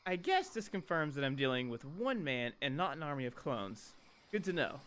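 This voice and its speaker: A man's voice: on the high side, big pitch swings, normal speed, fairly bright tone. A man voicing a chatty, sarcastic young woman who narrates her story in a visual novel. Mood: curious.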